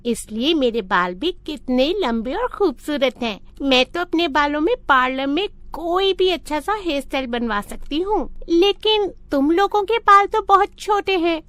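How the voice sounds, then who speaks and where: A woman plays a boastful rich little schoolgirl in a cartoon moral tale. The voice is very high, showy and condescending, recorded dry. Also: animated, normal speed, hardly any pauses, a bit bright, dry recording.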